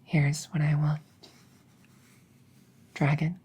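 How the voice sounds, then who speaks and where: Breathy, hushed young princess, acted by a woman. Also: low-pitched, little pitch movement, heavily acted. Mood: earnest, soft. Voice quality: soft, breathy, often whispering. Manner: slow, hushed, deliberate, coy pauses. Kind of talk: intimate roleplay audio drama, ASMR-like.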